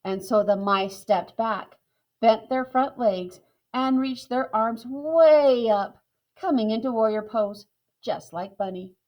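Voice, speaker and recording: Female storybook narrator, unhurried, slightly high-pitched. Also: muffled recording. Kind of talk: children's storybook reading.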